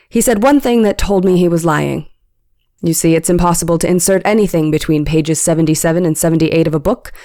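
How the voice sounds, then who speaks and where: Woman doing a sure-of-herself inspector, low mellow voice, dry close sound. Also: no room sound, close to an everyday voice. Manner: firm, deliberate explaining. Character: sharp, confident police inspector.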